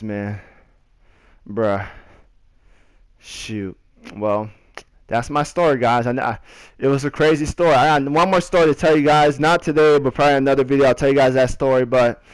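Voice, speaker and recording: A young man telling a story casually over gameplay, in a slangy, animated way with repeats and quotes of himself. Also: medium pitch, no room sound, poor audio. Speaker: gaming video creator.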